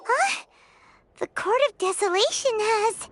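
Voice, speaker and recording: A woman voicing a tiny, eager, childlike fairy companion in a video game, with a squeaky voice. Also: animated, slow, heavily acted. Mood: startled. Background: game music.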